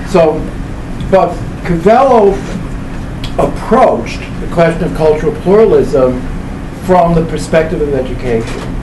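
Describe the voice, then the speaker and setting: A man in his seventies giving an informal public book talk as a professor, emphatic and fond of repeating phrases. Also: fairly high pitch. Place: small indoor event room. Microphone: camera a few metres away. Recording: echoey room, distant mic, background hum.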